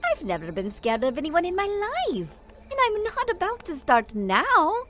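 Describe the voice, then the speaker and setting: A woman voicing a proud, feisty young girl singer in an animated film, defiant and quick to bristle. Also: sits high, a little hiss or hum.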